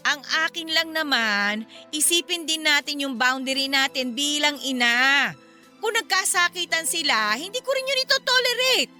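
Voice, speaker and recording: A woman playing a firm, reasonable middle-aged mother in a radio drama, with a Filipino accent and a measured, reproachful delivery. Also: high voice, lively intonation, pushed and bright, heavily acted. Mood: firm, restrained. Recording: music behind the voice. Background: background music.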